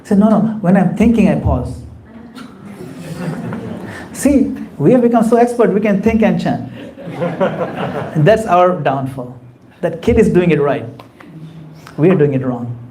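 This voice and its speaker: A man's voice: high-pitched, animated, measured pace, pauses often, background noise. A man giving a conversational temple class, acting out quoted voices as he explains. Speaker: spiritual teacher. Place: temple hall.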